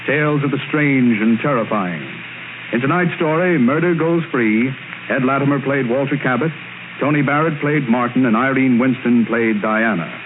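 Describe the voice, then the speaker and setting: A man announces scripted credits in 1940s radio style. His voice comes through a thin, phone-like old recording with clear hiss. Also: mid-range voice.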